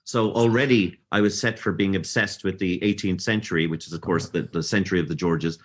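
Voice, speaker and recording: Low-voiced Dublin Irish man. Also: brisk, slightly rough. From Ireland. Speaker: podcast guest. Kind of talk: casual interview talk.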